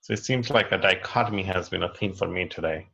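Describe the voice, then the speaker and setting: Man, low gravelly voice, candid rambling share, lo-fi call sound. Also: fast, pushed and bright. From India. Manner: candid, rambling, laughs easily. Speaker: participant. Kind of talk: personal sharing. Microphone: video call. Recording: lo-fi web call audio.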